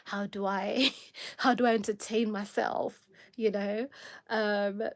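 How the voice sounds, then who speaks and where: A woman's voice: medium pitch, fairly even intonation, slow. A woman with a Kenyan accent hosting a casual livestream chat, rambling and correcting herself with frequent laughter, sounding a bit tired. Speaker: livestream host.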